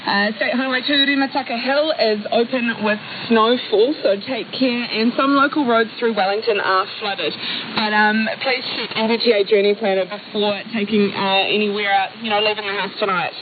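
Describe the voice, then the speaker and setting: A woman's voice: medium pitch, steady tone, normal speed. A woman with a New Zealand accent giving a slightly informal live news report from the field. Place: outdoors on a windy coast at evening. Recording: tinny shortwave radio, clear static noise.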